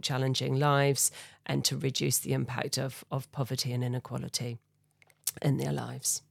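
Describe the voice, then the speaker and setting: A woman's voice: a bass voice, creaky, dry recording. A middle-aged woman with a New Zealand accent, a charity chief executive speaking thoughtfully and earnestly as a podcast guest. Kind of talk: conversational interview.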